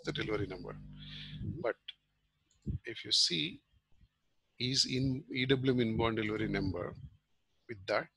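A man giving an informal screen-share software tutorial as a trainer, explaining step by step in halting short phrases. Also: medium pitch, vocal fry, husky, rough recording.

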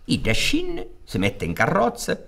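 A man's voice: on the high side, huge pitch swings, unhurried, vocal fry, fairly bright tone. An older man with a Sicilian accent narrates a folk tale in a dramatic, declamatory theatrical style. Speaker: storyteller. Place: theatre stage set up for radio recording. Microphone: close studio microphone on stand. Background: accordion music.

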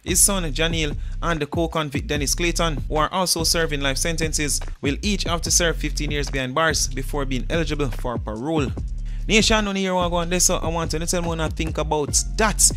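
A man's voice: fairly high pitch, a little fast, fairly bright tone. A man with a Jamaican accent hosting an entertainment news show, reading out stories and comments with his own commentary. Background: music.

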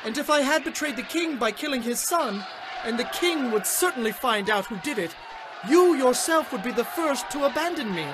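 A man's voice: extremely high pitch, expressive, normal speed, background noise, pitched above the performer's own voice. A man voicing an indignant, defiant male soldier who argues back, in a dramatized scripture audiobook. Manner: argumentative.

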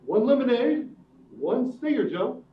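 An actor in radio theatre playing a breezy, inquisitive well-to-do gentleman, jovial and prodding. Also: very high-pitched, sing-song, a little slow, full character voice. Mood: jovial, curious. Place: theatre stage before an audience. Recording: distant echoey stage, dull sound, faint noise.

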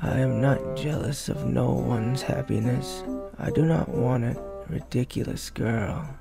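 Woman doing a low, creaky, sarcastic male hedgehog. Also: a little slow, music in the background, exaggerated voice. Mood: cold, mocking. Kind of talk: cartoon comic dub acting.

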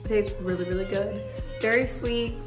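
A young woman with a hoarse, congested voice from a cold, chatting casually in her home kitchen with lots of fillers. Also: mid-range voice, fairly even intonation, normal speed, over music. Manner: chatty, rambling with many fillers. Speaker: vlogger. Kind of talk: casual vlog chat.

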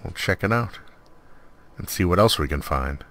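A man with an extremely deep voice talks through a game as he plays. He is laid-back and conversational, like a host showing viewers around. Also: animated, normal speed, fairly bright tone. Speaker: host of a game mod showcase. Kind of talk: casual gameplay commentary.

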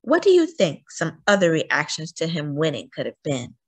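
A woman reading aloud to children as a storyteller, with an animated, expressive delivery that acts out the story. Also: deep voice, normal speed, slightly rough. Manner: animated, acting out sighs and cheers.

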